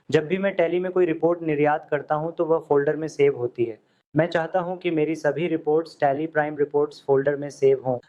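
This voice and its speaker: A man teaching accounting software step by step, in steady instructional tones over a screen recording. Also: on the high side. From India. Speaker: software tutor. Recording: dull lo-fi audio.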